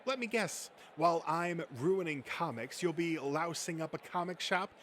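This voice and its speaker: A man's voice: on the high side, moderate pace, a bit bright, a little hiss or hum, close to an everyday voice. A man voicing a boastful, thin-skinned male comic-book nerd who rants and swears self-importantly in an amateur comic dub. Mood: sarcastic.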